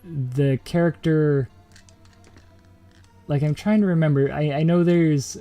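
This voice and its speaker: A man with a mellow, dark voice chats on a game night stream. He pauses often and hunts for words, and soft music plays underneath. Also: fairly high pitch, steady tone. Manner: hesitant, searching for words. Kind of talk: casual chat among friends.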